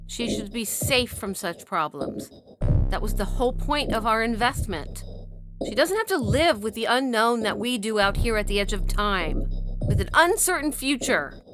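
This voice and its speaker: A woman's voice: medium pitch, animated, a little slow, music in the background, close to an everyday voice. A woman playing a controlling, protective mother in an audio science fiction story. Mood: insistent. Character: controlling, protective mother defending her plans.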